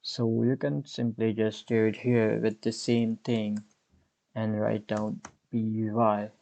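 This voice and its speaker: A man's voice: lowish voice, flat delivery, creaky, mellow. A man in his twenties hosting a programming tutorial, explaining code step by step as he types. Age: 20s. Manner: unhurried, explains step by step while typing. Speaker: coding tutorial host. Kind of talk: screen-recorded programming tutorial.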